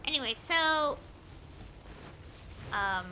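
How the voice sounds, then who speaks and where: High-pitched, animated young woman streaming. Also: drawn-out words, a little hiss or hum, poor audio. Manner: rambling, hesitant, thinking aloud. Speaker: game streamer. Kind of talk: casual live gameplay chat. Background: game music.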